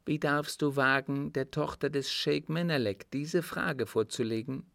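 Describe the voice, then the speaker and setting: A proud young storybook heroine, acted out by a male reader, cold and commanding. The voice is lifted high above his own and answers with haughty reserve, recorded very dry. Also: animated, measured pace, gravelly, dry recording. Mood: proud, indignant. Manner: haughty, cool, imperious. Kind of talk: audiobook character dialogue.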